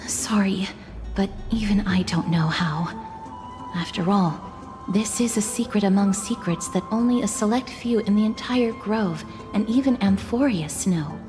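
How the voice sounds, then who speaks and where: A woman playing a gentle, solemn and polite young woman in a fantasy video game, soft-spoken and measured in a formal way. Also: medium pitch, in a large hall, natural voice. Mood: calm, thoughtful. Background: background music.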